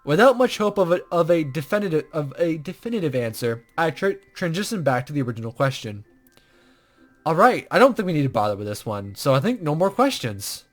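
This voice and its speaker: High-pitched guy playing a visual novel. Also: very animated, brisk. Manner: stumbles over the text while reading. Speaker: let's play host. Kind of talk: reading a visual novel aloud with casual commentary.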